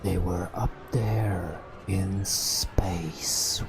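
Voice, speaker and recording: A man telling a bedtime story in a hushed ASMR style, in drawn-out phrases with long pauses. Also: low voice, steady tone, noisy background. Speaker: narrator. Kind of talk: whispered ASMR bedtime storytelling over game footage.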